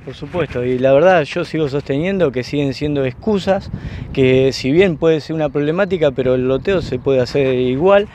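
A man in his thirties with an Argentine accent, a councillor answering in a measured way with many fillers, outdoors. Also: a little high, a little mellow. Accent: Argentine Spanish. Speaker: city councillor. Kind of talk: outdoor political interview. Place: open field by a paved street, outdoors. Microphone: camera at close range. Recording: outdoor wind and traffic noise.

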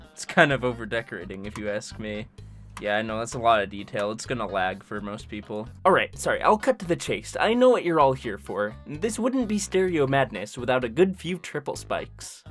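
A man's voice: medium pitch, a bit bright. A young man joking and chatting casually while he narrates and streams himself building a game level. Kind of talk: casual commentary with voice-over narration.

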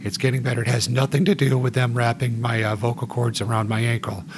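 A man in his forties with a gravelly voice, hosting a joking podcast chat. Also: medium pitch, little pitch movement, quick pace, background noise. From United States. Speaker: podcast host.

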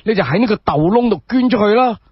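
A wry gatekeeper with a rough past acted by a male storyteller talks in pointed, everyday phrases. The voice is high and lively, with a husky edge. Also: fast talker, no room sound, dull audio. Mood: teasing. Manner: teasing, colloquial, pointed. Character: wry, lame gatekeeper, a former convict.

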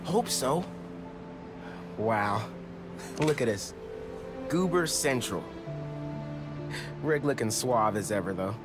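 A man's voice: mid-range voice, animated, slow, long pauses. An American man playing an earnest, nerdy teenage boy superhero in a video game cutscene. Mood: friendly, awkward. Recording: music behind the voice.

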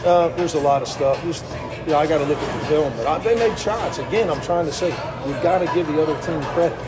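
A man's voice: a little high, fast talker, a loud crowd. A middle-aged man, a head basketball coach at a postgame press conference, answering reporters bluntly in short, curt, repeated sentences into a cluster of close microphones. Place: small press backdrop area, indoors. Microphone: cluster of microphones and phones close up.

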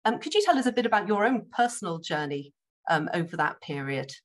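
A middle-aged woman moderating an online panel discussion over a video call, polite and measured, with frequent ums. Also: on the low side, very animated. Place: home office, indoors.

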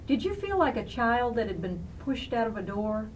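A woman's voice: on the high side, very animated, moderate pace, recorded from a distance, slight background noise, rough recording. A woman interviewer asking short questions off camera.

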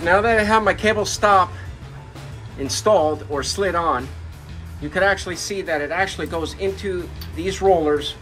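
A man's voice: fairly high pitch, fairly bright tone. A man working as a technician, explaining a hands-on repair step by step in an instructional way. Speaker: marine electrical technician presenting repairs. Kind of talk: hands-on tutorial commentary.

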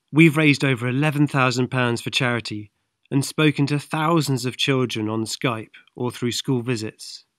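A man's voice: on the high side, noisy background. A man in his forties making an upbeat, earnest crowdfunding appeal for his long cycling trip. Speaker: long-distance cyclist raising funds. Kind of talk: crowdfunding appeal, mix of voice-over and to-camera talk. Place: outdoors in a city park.

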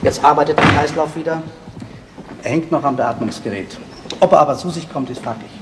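An actor playing a guarded, matter-of-fact hospital doctor in a 1970s radio crime play. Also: male voice, fairly high pitch, fairly quick, natural voice. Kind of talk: 1970s German radio crime drama acting. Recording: reverberant, noisy vintage radio play.

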